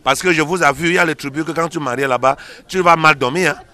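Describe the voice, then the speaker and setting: A man's voice: on the high side, quick pace, sharp tone. A man speaking as a pastor in an interview, explaining in an emphatic, teacherly way and repeating phrases for stress. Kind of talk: explanatory interview answers on a religious show.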